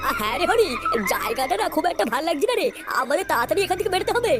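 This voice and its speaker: A man voicing a hesitant, fearful old uncle in a cartoon, with a pitch-shifted voice. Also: big pitch swings, racing, over loud music. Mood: uneasy. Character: nervous, cautious old village uncle. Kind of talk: comic cartoon dubbing.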